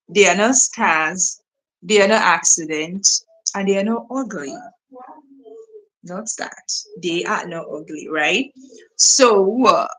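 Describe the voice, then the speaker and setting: Nigerian woman live streaming, bright clear voice, rambly with lots of ums and repeats. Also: on the low side, poor audio. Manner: chatty, repeats herself, many fillers and restarts. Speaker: livestream host. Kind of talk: casual live stream chat reading viewer comments.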